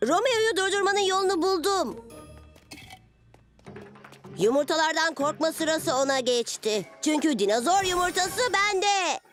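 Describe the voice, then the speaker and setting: A woman's voice: extremely high pitch, lively intonation, measured pace, pauses often, faint music behind. A woman voicing an earnest six-year-old boy superhero in a cartoon, plucky but anxious, speaking in exclamations. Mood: confident. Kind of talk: cartoon dub acting.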